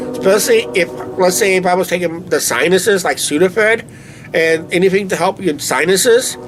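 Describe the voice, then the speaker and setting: A man's voice: fairly high pitch, noisy background. A man with a stuffy, blocked nose chatting casually over a beer at night outside by a shed, rambling with lots of "uh, basically" fillers into a camera on a tripod. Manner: meandering, filler-heavy, "uh, basically" talk. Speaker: co-host of a vlog channel. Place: outdoors at night near a shed.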